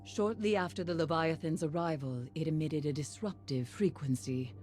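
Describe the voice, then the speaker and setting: A woman's voice: deep voice, natural voice. An actress playing a grave, brooding woman occult expert in a video game, speaking in a solemn, ominous manner. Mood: grave, foreboding. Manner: slow, solemn, ominous, archaic phrasing. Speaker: lunar field agent and mission guide. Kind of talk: dramatic scripted game narration. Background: loud dramatic music.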